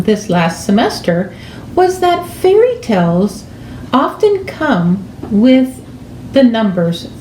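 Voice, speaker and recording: A woman in her fifties explaining things to children as a teacher, deliberate and with pauses, recorded in a room at home. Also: medium pitch, warm tone. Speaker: elementary school teacher. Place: kitchen or dining room at home. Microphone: camera a few feet away. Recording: roomy, echoing, faint background noise.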